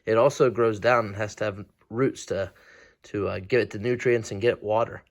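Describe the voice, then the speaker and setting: A man's voice: on the low side, steady tone, moderate pace. A man helping present a lesson, explaining things to children in a casual, plain-spoken way.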